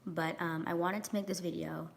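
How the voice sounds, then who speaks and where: A young woman vlogging at home with a hoarse, raspy voice, chatting casually with lots of ums and swearing while holding her phone at arm's length. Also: fairly low pitch, steady tone, moderate pace. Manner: chatty, frequent ums and likes, swears freely. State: hoarse from acid reflux. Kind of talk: casual vlog rant. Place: large home kitchen and rooms, indoors. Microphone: phone camera held at arm's length, walking around.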